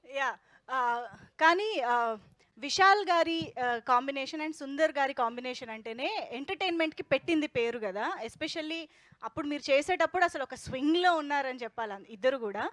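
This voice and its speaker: Woman, high pitch, interview host, conversational questions, dry sound. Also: animated, brisk, a bit bright, dry recording. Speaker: host of a film promotion interview.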